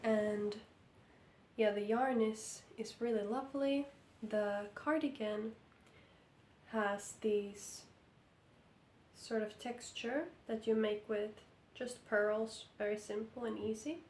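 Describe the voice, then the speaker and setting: Finnish woman a bit croaky from a cold, talks real slow, echoey room w faint rumble. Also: fairly even intonation, a little mellow. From Finland. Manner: slow, careful, hesitant. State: hoarse from illness. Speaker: knitting vlogger. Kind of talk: casual vlog update. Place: indoor room under lamp light.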